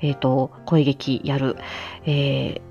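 A woman's voice: a bass voice, little pitch movement, slow pace, creaky, warm tone, dull audio, soft background music. A woman chatting casually to listeners as a livestream host, effusive and humble, often breaking into small laughs. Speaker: livestream host and amateur voice actor.

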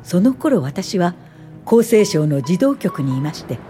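A woman's voice: fairly low pitch, very animated, warm tone, soft background music. An actress playing a thoughtful woman in her late fifties, a welfare official, in a radio drama, speaking politely and reflectively. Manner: polite, measured, reflective storytelling. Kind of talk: radio drama narration and dialogue.

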